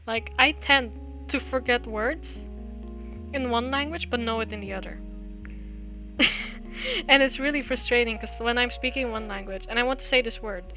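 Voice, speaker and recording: A woman live-streams a story game, reading aloud and chatting casually. Her voice sits a bit high, with clear music playing underneath. She sometimes stumbles over words as she goes. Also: pushed and bright.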